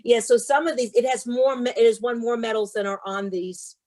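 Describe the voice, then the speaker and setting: A woman with a fairly high voice speaks as a guest author. Her delivery is emphatic and rhythmic, in the expressive style of someone presenting and reading storybooks. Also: fairly quick. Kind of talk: interview talk and expressive storybook read-aloud.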